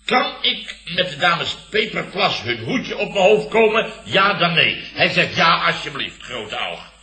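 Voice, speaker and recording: A self-important, aggrieved boss in an old Dutch radio comedy. His voice is extremely forceful and swings widely as he blusters and stammers in a Netherlands accent. The recording is roomy. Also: fairly high pitch, sing-song, measured pace, heavily acted. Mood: defensive, exasperated. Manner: blustering, pompous, stammering when flustered.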